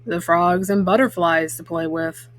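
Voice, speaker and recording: A woman's voice: fairly low pitch, unhurried, dry recording, voice pushed down, close to an everyday voice. An easygoing father bear from a children's storybook, acted out by a woman reader. The voice drops well below the reader's own and reassures without any rush. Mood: calm, reassuring. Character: gruff, easygoing papa bear, grown male.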